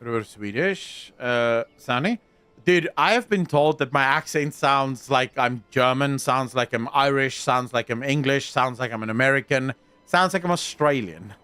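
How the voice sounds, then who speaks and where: A man's voice: mid-range voice, a little slow, pushed and bright, clear, resonant tone, dry recording. A South African man streaming a game, chatting casually with viewers and swearing now and then. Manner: chatty, swears casually, reads and answers chat. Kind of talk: casual gameplay livestream chat.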